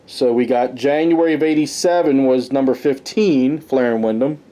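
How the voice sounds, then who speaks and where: A man with a soft, mellow voice and a middling pitch hosts a casual podcast. He talks conversationally, moving through a list and tossing in personal asides as he goes. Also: normal speed, rough recording. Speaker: podcast host reviewing wrestling history. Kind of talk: casual commentary, reading a ranked list.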